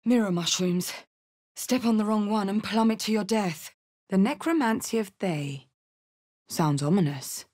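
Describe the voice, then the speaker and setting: A woman's voice: medium pitch, lively intonation, unhurried, a little mellow. A woman playing a blunt, earthy, battle-hardened tiefling barbarian in a video game. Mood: guarded. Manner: blunt, earthy, salty-tongued. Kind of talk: video game character voice lines.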